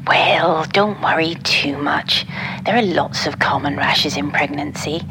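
An actress playing a concerned middle-aged mother in an audio drama, gently and breathily reassuring her grown daughter. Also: sits low, measured pace. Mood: concerned, soothing. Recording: clear background noise, ambience.

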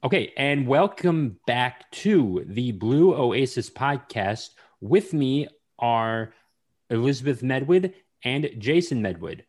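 A man's voice: medium pitch, animated, slow pace. A man hosting a podcast interview, asking questions in a hesitant way with many fillers. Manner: hesitant, lots of uhs when asking questions. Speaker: podcast host. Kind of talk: casual interview.

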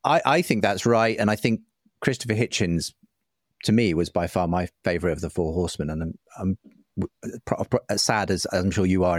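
A man's voice: sits low, no room sound, studio quality. A man, talking thoughtfully and agreeably, a little hesitantly, as a guest in an interview. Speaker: interview guest, writer and broadcaster. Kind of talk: conversational interview.

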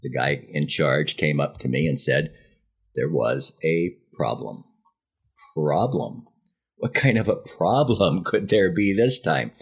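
American male in his 70s, low husky voice, wry storyteller recounting his own memories. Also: animated, slow pace. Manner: wry, self-deprecating, dramatizes dialogue. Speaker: podcast host. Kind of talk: first-person memoir storytelling.

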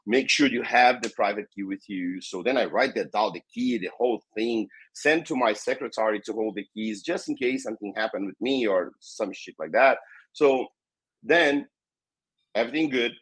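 A Brazilian man in his fifties, a native Portuguese speaker with a Brazilian accent, telling stories as an interview guest, lively and acting out the dialogue. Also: medium pitch, slightly rough, no echo, low-quality recording. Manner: animated, acts out dialogue, swears casually. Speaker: guest, tech company founder. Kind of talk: casual storytelling interview.

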